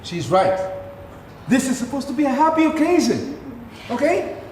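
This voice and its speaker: A man's voice: very high voice, big pitch swings, moderate pace. Man playing a stern, proud father, echoey hall. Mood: stern, indignant. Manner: scolding, authoritative. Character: stern, proud, wealthy father ruling his household. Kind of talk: stage play acting. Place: theatre stage, recorded from a distance. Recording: echoey theatre hall from far off, faint noise.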